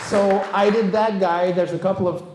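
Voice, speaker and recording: A man in his forties speaks casually to a live audience in a roomy, echoing space. His voice sits a little high for a man, and he keeps the talk informal, poking fun at himself as he goes. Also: fairly even intonation, normal speed, noisy background. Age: 40s. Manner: joking, self-deprecating. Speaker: guest speaker, monster voice actor and vocal coach. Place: indoor venue at an evening meetup.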